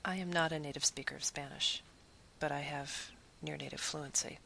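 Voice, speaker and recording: A woman's voice: deep voice, fairly even intonation, moderate pace, creaky, sharp tone, slight background noise. A woman who teaches Spanish, giving an earnest, reflective talk about her teaching and piling up long lists of phrases.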